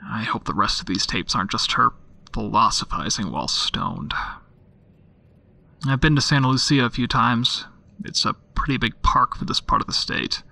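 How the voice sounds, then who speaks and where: A man's voice: mid-range voice, normal speed, vocal fry, bright, forceful tone. An actor playing a skeptical, weary male police detective who muses dryly aloud in an audio drama. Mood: skeptical musing. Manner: dry, grumbling, musing aloud. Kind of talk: audio drama, naturalistic monologue into recorder.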